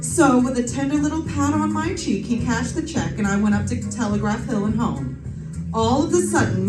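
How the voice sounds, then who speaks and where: High-voiced wisecracking lady detective played by an actress, roomy. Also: lightly acted. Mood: wry storytelling. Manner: wry, playful, theatrical delivery. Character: wisecracking, breezy female private detective narrating her own case. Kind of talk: 1940s radio detective acting. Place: live stage performance in a room. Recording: roomy stage, distant mic, music behind.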